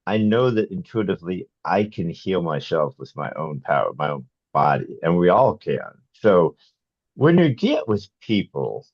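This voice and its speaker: Male interviewer, deep voice, conversational podcast hosting, dull lo-fi recording. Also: big pitch swings, measured pace, husky. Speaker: podcast host. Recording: dull, roomy sound.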